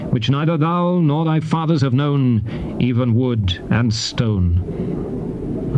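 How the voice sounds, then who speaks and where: A man's voice: mid-range voice, highs cut off, lightly acted. Man acting a solemn prophet, noisy tape. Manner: grave, declamatory, measured oratory. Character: Moses, solemn male prophet warning his people of curses. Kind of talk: dramatized scripture reading, formal archaic English. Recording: noisy old cassette tape transfer.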